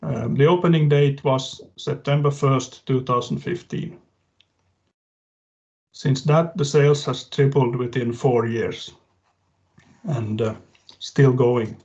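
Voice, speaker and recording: A man's voice: medium pitch, steady tone, slow, pauses often, a little mellow. A man giving a prepared case-study talk on a webinar, reading steadily with small restarts. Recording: dull lo-fi video-call audio.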